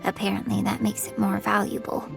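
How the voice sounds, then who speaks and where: A woman voicing a graceful, composed young opera singer in a video game, with measured, polite, gently wistful speech. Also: mid-range voice, completely flat delivery, vocal fry, music in the background. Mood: earnest, gracious.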